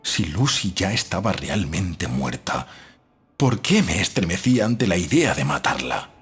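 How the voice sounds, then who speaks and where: Man acting a troubled doubting narrator in gothic horror audiobook, low voice that moves around a lot, dramatic. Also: very animated, a bit bright, husky, heavily acted. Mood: chilled. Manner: literary, measured, dramatic delivery.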